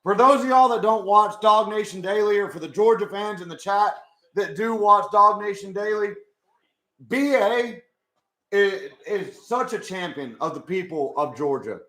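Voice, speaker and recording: A young man with a hoarse voice, chatting casually with his live chat as he watches a football game. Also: sits high, normal speed, pushed and bright, echoey room. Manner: chatty, swears, talks to chat. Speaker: live stream host.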